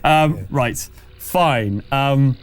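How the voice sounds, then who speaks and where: A man running a tabletop game as game master, narrating and refereeing conversationally, pausing as he thinks aloud. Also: medium pitch.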